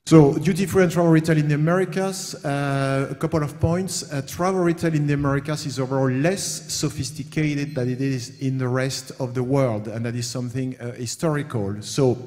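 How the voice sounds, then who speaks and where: A man's voice: mid-range voice, brisk, few pauses, darkish tone. A middle-aged man from France speaking on a conference panel, hesitant, with many fillers as he talks through his slides. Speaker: panel speaker, company founder. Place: conference hall. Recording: long reverb of a hall.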